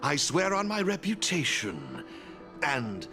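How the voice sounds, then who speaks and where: An actor playing a flamboyant masked gentleman in a video game, formal, courteous and theatrical, like a showman. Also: fairly high pitch, wildly swooping pitch, slow, exaggerated voice. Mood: playful, assuring. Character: flamboyant masked gentleman, smooth event sponsor and gaming enthusiast. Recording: background music throughout.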